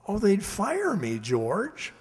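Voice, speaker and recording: An actor playing a timid, amiable night security guard in a radio drama, hesitant and folksy, stammering at times. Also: male voice, sits high, wildly swooping pitch, takes it slowly, darkish tone, breathy edge, in a large hall, exaggerated voice. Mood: amiable, uneasy. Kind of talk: radio drama acting and narration.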